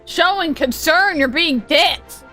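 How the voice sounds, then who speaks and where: A woman's voice: high-pitched, animated, takes it slowly, music in the background. A woman giving casual, blunt and sarcastic commentary over game footage. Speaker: gaming content creator.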